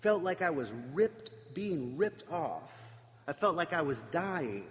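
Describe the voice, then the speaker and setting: A man preaching a reflective sermon, emphatic at times and pausing for effect. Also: a little high, big pitch swings, in a large hall. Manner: reflective, emphatic with dramatic pauses.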